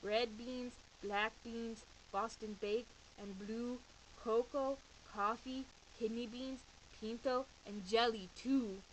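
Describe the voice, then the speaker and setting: A woman's voice: a little high, steady tone, takes it slowly, slight background noise, rough recording. A woman voicing the smallest Chihuahua of a bandit gang, a cheeky male sidekick who talks in slang, in a storybook reading. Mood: complaining.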